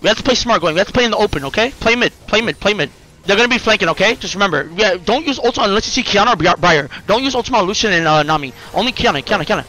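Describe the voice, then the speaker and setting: Man in his twenties, high-pitched, bright forceful voice, live gameplay chat, repetitive urgent callouts, slangy. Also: expressive, rapid-fire, faint music behind, poor audio. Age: 20s. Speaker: game streamer. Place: indoor room at home.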